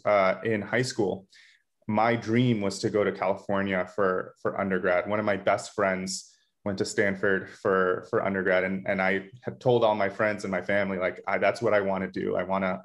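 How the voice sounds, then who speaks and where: A young man from Florida with an American accent, presenting a webinar in a conversational, earnest and explanatory way as a medical tutor. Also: lowish voice. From Florida, United States. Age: young adult.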